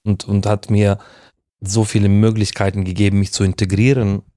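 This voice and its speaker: Deep-voiced man. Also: little pitch movement, moderate pace, mellow, no room sound, studio quality. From Ukraine. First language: Russian. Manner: thoughtful, searching for words with many restarts. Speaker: podcast guest, founder. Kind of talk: casual conversation.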